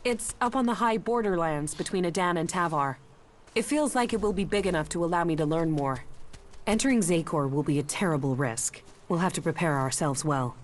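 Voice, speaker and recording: A woman's voice: fairly low pitch, a little fast, slight background noise, natural voice. An actress playing an earnest, intense woman seer in a video game, with a searching manner. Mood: eager, intent. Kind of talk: video game cutscene acting.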